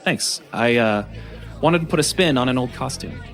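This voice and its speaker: A man's voice: medium pitch, animated, moderate pace, soft background music, a faint crowd, lightly acted. An actor playing a shy young man at a Halloween party who stumbles and hesitates when flustered. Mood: awkward. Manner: hesitant, flustered, filler-heavy.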